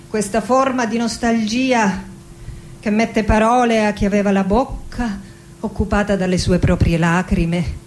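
A high-pitched middle-aged woman poet in a radio drama, reciting slowly and lyrically. She is melancholy yet wry and passionate, leaving dramatic pauses, over a faint rumbling noise in the recording. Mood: melancholy, musing. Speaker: actress performing a dramatic monologue. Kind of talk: theatrical radio monologue, poetic recitation.

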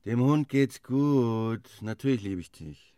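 An actor in a radio drama playing a blunt, exasperated figure who answers back. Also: male voice, medium pitch, lively intonation, slow, background noise. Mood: insistent.

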